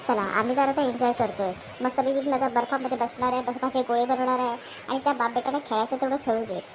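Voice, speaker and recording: A woman's voice: high-pitched, low-quality recording. A woman playing an easygoing mother and wife in a cartoon family comedy. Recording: clear background noise, ambience.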